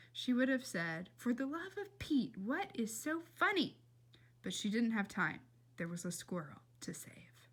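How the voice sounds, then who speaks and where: A woman's voice: fairly high pitch, fairly bright tone. A woman reading a storybook aloud expressively, with dramatic emphasis and casual asides. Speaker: read-aloud reader.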